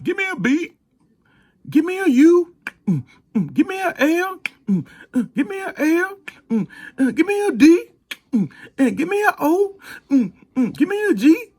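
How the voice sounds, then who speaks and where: A man's voice: very high voice, big pitch swings, a little slow, pauses often, darkish tone, voice pushed up, full character voice. A man voicing a peppy, tireless cheerleader girl, chanting spelled-out cheers in a rhythmic call-and-response. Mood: peppy, rousing.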